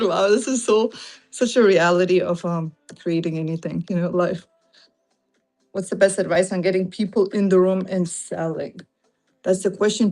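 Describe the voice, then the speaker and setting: A woman's voice: on the low side, a little mellow. Woman, Lithuanian accent, casual livestream host, chatty, faint music behind. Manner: chatty, greets viewers, laughs often. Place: home room, sitting on carpet.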